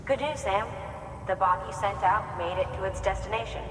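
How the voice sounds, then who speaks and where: An actress playing a friendly technician giving an upbeat progress report in a video game. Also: female voice, mid-range voice, moderate pace, close to an everyday voice. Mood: pleased. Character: friendly female technician reporting good news. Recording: echoey hall, distant, faint noise.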